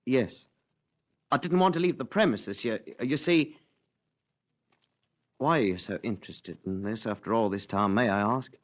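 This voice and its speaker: A man's voice: mid-range voice, normal speed, long pauses, dull audio, lightly acted. A man plays an evasive, fumbling man under questioning who hesitates and trails off, forgetting names on purpose. The voice sits in a plain middle range. It has the tone of a 1950s radio drama. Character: evasive male former fire watcher under questioning.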